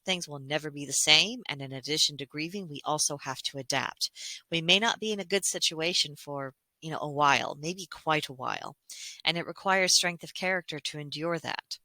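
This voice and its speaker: A woman host giving reflective commentary in a measured, articulate and explanatory way. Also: sits low, sharp tone, dry recording, low-quality recording. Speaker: host of a fairy tale commentary series.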